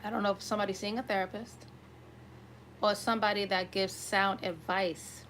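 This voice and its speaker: A woman's voice: mid-range voice, steady tone, normal speed, fairly bright tone. Woman tarot reader over background noise. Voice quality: slightly raspy. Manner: conversational, often checking in with "right?". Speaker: tarot reader hosting an online collective reading. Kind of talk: improvised tarot card reading addressed to viewers.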